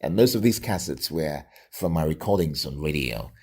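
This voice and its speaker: A middle-aged Nigerian man with a Nigerian accent, telling his own story reflectively in an interview with a radio presenter's deliberate, emphatic delivery. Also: very deep voice, expressive, a little mellow, slightly rough. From Lagos, Nigeria.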